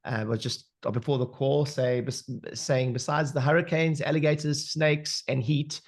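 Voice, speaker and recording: South African guest speaker, animated, chatty, on a video call. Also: male voice, medium pitch, normal speed. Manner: animated, filler-heavy storytelling. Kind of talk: webinar talk.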